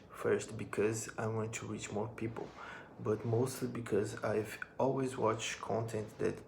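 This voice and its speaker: A man's voice: fairly low pitch, gravelly, darkish tone, a little hiss or hum. A man in his twenties with a Brazilian accent, a musician speaking English as a second language, reading from a note and talking to his phone camera in a personal vlog. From northern Brazil. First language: Portuguese. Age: 20s. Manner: reads from a note, with pauses and restarts. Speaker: musician and drum teacher.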